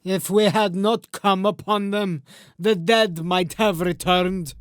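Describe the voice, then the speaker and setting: Old Norse warrior, male actor, audiobook saga. Grave and measured, resonant tone, high-set for a man, dry close recording. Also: fairly even intonation, clean tone, no echo. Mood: solemn, grave. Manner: solemn, measured, formal phrasing. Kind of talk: audiobook character acting.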